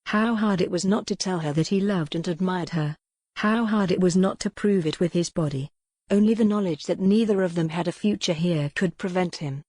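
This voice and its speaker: A woman's voice: on the low side, normal speed, a little mellow, no echo. A woman reading scripted narration as the narrator of a romance audiobook.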